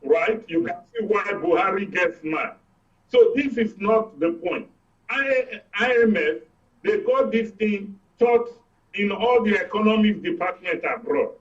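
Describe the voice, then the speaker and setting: High-pitched older Nigerian man, explaining emphatically. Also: lively intonation, unhurried, far from the mic, muffled recording. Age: 60s or older. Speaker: guest economist. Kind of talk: explanatory political discussion on a live broadcast.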